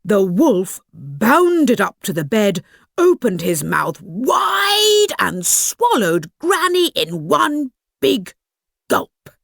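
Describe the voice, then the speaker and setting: A woman narrating a children's fairy tale as an expressive audiobook storyteller. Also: medium pitch, extremely slow, gravelly, no room sound, studio quality.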